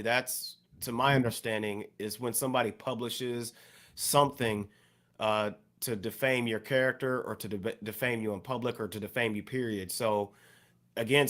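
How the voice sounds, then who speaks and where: A man speaks as one of a podcast crew. He explains his view in a careful, halting way, keeping it conversational. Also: mid-range voice, fairly bright tone. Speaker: podcast co-host. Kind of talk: casual panel discussion.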